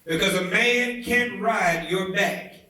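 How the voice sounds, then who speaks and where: Man, slow deliberate orator, emphatic speech reading, very echoey hall, distant mic. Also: high-pitched, sharp tone. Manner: oratorical, deliberate, measured phrasing with emphatic swells. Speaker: reader at a commemorative event. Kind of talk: dramatic reading of a historic civil rights speech. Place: indoor theatre event, heard from a distance.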